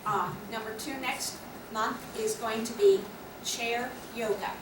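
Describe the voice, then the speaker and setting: A woman at a club meeting talks through upcoming plans in a measured, practical way. Her voice sits a little high and comes from far off across an echoing room. There is a steady noise behind her. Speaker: guild officer presenting education plans. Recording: echoey room, distant mic, noisy.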